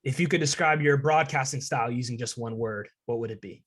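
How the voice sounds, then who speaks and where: Young male student interviewer, medium-pitched voice, casual podcast hosting. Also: brisk, a bit bright, husky. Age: young adult.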